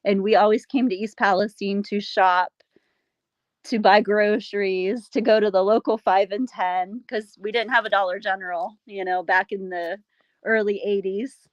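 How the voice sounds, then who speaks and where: A middle-aged woman from Pennsylvania, a local resident speaking as a guest in a casual conversation, halting and emotional with soft sighs. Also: mid-range voice, fairly even intonation, no echo, muffled recording. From Pennsylvania, USA.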